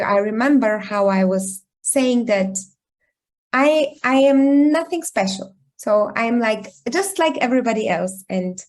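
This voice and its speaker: A woman from Bulgaria, speaking English as a guest in an online talk, telling a personal story thoughtfully and pausing often with ums. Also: mid-range voice, a little mellow. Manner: reflective, thoughtful, with frequent pauses and ums. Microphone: video call.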